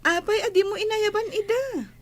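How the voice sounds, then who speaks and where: Earnest, high-voiced daughter. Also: female voice, normal speed, no echo. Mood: earnest pleading. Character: kind grown daughter visiting from America. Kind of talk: Ilocano radio drama acting.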